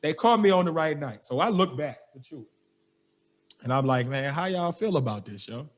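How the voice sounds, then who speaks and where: A young man, a podcast host telling a story with lively energy, slangy and acting out the dialogue. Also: a little high, normal speed. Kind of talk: animated storytelling.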